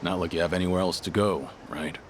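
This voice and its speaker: A man's voice: low-pitched, steady tone, fast talker, slight background noise, lightly acted. An actor playing a stoic, weary but kind older tough guy in an English-dubbed video game, terse and understated. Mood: calm. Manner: terse, understated, matter-of-fact.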